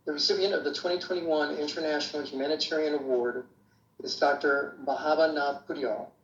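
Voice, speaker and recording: A man's voice: fairly high pitch, steady tone, a little fast, a bit bright. A man presenting an award, reading a formal scripted introduction. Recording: roomy, dull lo-fi with faint hiss.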